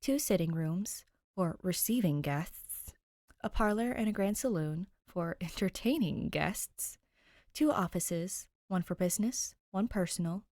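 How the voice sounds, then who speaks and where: A woman hosting and reading fan fiction aloud in an informal, bubbly, expressive way. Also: lowish voice, measured pace, dry recording, studio quality. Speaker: host and reader of a fan fiction podcast. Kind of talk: casual intro chat and expressive fiction narration.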